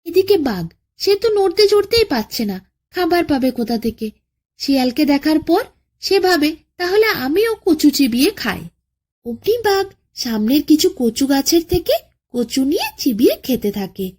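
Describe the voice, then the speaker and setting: A woman narrating a children's bedtime folk tale as a storyteller, in an expressive, dramatic way. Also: sits high, moderate pace.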